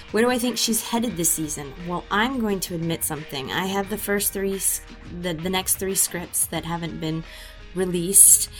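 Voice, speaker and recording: A woman with a slightly low voice talks through her thoughts on the character she plays, circling back with fillers and restarting her sentences. Faint music sits under her. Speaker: voice actor for an audio drama. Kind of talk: interview answers.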